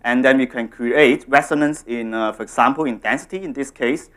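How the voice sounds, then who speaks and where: A man's voice: mid-range voice, fairly quick. Hong Kong-accented man giving a lecture. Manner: steady, explanatory, some fillers. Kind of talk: scientific talk. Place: conference room.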